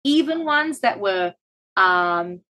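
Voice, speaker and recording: Brief: Australian woman guest, mid-pitched voice, earnest and open. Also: lively intonation, slow pace, sharp tone. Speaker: podcast guest, cosplayer. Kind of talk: casual chat.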